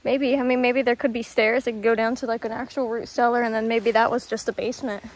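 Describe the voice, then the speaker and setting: A young woman in her twenties vlogging on a handheld phone as she explores an abandoned house, chatty and excitable. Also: fairly high pitch, fast, slight background noise, poor audio. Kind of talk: casual exploring vlog. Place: outdoors and inside an abandoned house. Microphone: handheld phone at arm's length.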